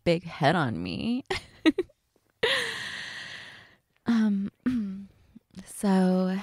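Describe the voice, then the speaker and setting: Woman acting a flirty, slow-talking date, creaky voice. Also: mid-range voice, wildly swooping pitch, warm tone, no echo, full character voice. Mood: teasing, playful. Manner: giggly, hesitant, teasing, conversational. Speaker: audio roleplay voice actress.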